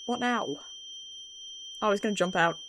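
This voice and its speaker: A woman's voice: medium pitch, normal speed, very noisy. A British woman streamer chatting casually and expressively with her viewers, swearing freely. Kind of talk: casual livestream chat. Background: background music.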